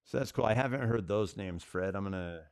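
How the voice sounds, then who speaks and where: A man's voice: fairly low pitch, brisk, darkish tone, dry recording, clean studio sound. A man chatting casually on a gaming livestream, laid-back and musing aloud to viewers. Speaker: live streamer.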